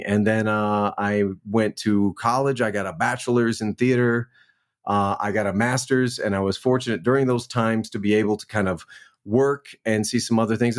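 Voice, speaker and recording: A man in his forties from New Orleans, a guest actor telling his career story in a relaxed, chatty way on a remote call. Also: medium pitch. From New Orleans, Louisiana. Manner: relaxed, storytelling, frequent "man" and "you know". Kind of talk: casual interview chat.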